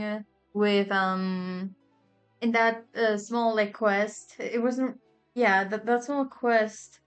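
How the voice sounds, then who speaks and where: A woman's voice: mid-range voice, smooth. A woman giving casual gameplay commentary, hesitantly thinking aloud. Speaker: game streamer.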